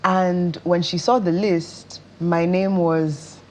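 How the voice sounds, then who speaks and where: A woman with a Nigerian accent giving measured, expressive answers as an actress being interviewed. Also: on the low side. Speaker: interview guest, stage and screen actress.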